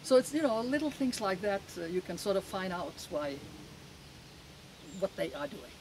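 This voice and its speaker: She is a woman in her seventies with a Finnish accent. She answers interview questions as personal testimony, leaning hard on words and breaking up her phrases. Clear outdoor noise sits behind her. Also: mid-range voice, big pitch swings, moderate pace. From Finland. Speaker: interview guest. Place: outdoors in a garden.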